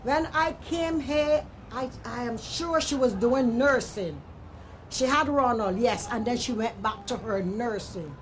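An older woman recalls the past for a documentary. Her voice is on the high side, with faint background noise. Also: a little slow. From Barbados. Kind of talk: reminiscing in a documentary interview.

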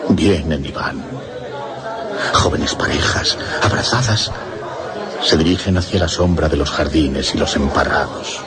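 An actor with a Castilian Spanish accent playing a tormented, lovesick young priest, brooding and impassioned in a radio drama monologue. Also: male voice, a bass voice, a little slow, pauses often, crowd noise. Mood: anguished, bitter. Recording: music under the voice. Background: music.

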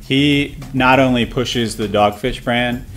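A man's voice: mid-range voice, steady tone, slow pace, a bit bright, soft background music. Chatty man hosting a beer review. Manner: upbeat, explains at length with fillers. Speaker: show host. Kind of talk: casual beer review chat. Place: indoor studio set with wooden backdrop.

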